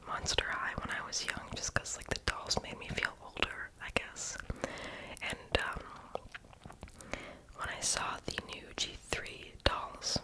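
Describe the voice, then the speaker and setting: A young adult speaks entirely in a breathy whisper. The delivery is a casual, hesitant ASMR ramble peppered with filler words. Small mouth sounds come through between the phrases. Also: a little slow, rough recording. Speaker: ASMR video creator.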